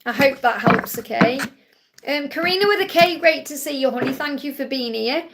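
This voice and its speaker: A middle-aged woman hosting a live psychic Q&A, chatty and reassuring, whose voice is getting croaky. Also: sits high, normal speed, fairly bright tone, a little hiss or hum. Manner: chatty, reassuring, peppered with "okay?" and "you know". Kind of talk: live-stream spiritual reading.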